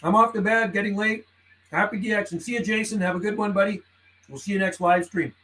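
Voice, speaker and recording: A man's voice: sits high, brisk, sharp tone, poor audio. A man chatting casually and making radio calls on a live stream, easygoing and friendly, often saying man and buddy. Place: radio room inside his house.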